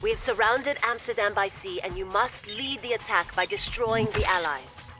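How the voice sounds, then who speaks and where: An actress playing a poised, devoted military logistics officer in a video game cutscene, speaking in a formal, measured and deferential way. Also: female voice, medium pitch, lightly acted. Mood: urgent, zealous. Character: poised, devoted female imperial logistics officer briefing commanders. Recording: loud music behind the voice.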